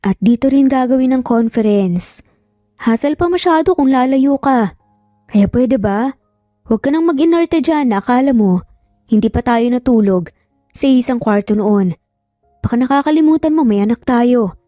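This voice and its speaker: A woman's voice: on the high side, expressive, moderate pace, pauses often, warm tone, no room sound, clean studio sound, faint music behind, natural voice. Woman as a teasing, cocky young father. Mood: smirking, teasing. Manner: teasing, nonchalant.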